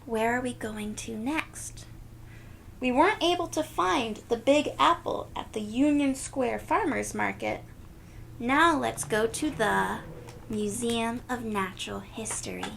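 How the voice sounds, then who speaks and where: A woman with a fairly high voice reads a children's story at a very unhurried pace. She is expressive and pauses to explain things simply to young listeners. There is some noise in the background. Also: gravelly. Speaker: read-aloud storyteller. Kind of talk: children's picture-book read-aloud with asides to listeners.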